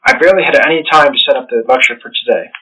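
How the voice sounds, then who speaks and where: A man's voice: medium pitch, racing, bright, forceful tone, breathy edge, low-quality recording. A man playing an uneasy substitute professor, giving a scripted lecture for a horror fiction podcast. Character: uneasy male substitute professor at an occult university.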